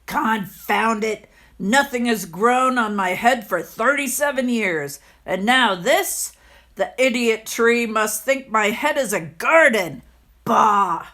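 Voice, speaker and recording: A woman's voice: fairly high pitch, animated, bright, forceful tone, heavily acted. A woman voicing a mean, miserly old landlord in a children's storybook, grumbling and scornful. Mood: exasperated. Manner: grumbling, scornful, drawn-out exclamations. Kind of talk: children's storybook read-aloud.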